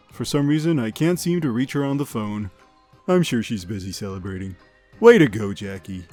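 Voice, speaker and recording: A man playing a chipper, rambling amusement park news host, delivering absurd comedic news in an offhand deadpan. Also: medium pitch, natural voice. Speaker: podcast host. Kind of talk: scripted comedic news monologue.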